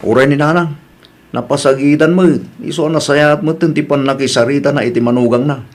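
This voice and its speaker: Man acting as peacemaking husband, fairly high voice, admonishing, family comedy drama. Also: steady tone, brisk, close to an everyday voice. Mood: calm, concerned. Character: man called uncle, husband and son, peacemaking and preachy. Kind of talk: Filipino comedy drama dialogue in Ilocano.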